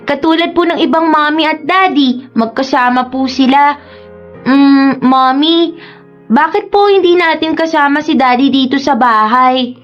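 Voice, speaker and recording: A woman's voice: high-pitched, unhurried, muffled recording, soft background music. A woman playing a sweet, eager little boy in a radio drama, talking politely and pleadingly like a young son. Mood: wistful. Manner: polite, pleading childlike talk. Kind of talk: Tagalog radio drama acting.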